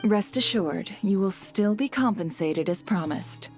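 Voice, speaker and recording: Cryptic female guild head, middle register, measured, courteous, formal game dialogue. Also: medium pitch, animated, faint music behind. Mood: composed. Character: poised, cryptic woman heading a secretive trade guild. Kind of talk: video game character acting.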